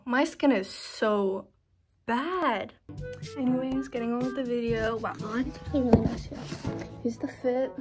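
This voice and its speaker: A teenage girl chats awkwardly into her phone camera, talking about her outfit and thrift finds. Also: a little high. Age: teens. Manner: awkward, giggly, self-conscious. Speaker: vlogger. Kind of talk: casual vlog chat. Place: bedroom, then train and thrift stores. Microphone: phone camera held close.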